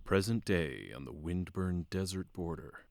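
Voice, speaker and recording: A male narrator with a deep voice reads scripted fantasy drama narration. The recording is close and very dry. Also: lively intonation, a little slow, gravelly, a little mellow, no room sound.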